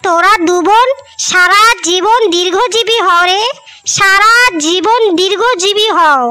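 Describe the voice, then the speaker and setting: A woman's voice: very high-pitched, unhurried, bright, forceful tone, dry recording, heavily acted. A woman voicing a kindly older mistress of the house in a cartoon story, with a coaxing, motherly way of advising. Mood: accepting, moved. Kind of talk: Bengali cartoon story dialogue. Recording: music behind the voice.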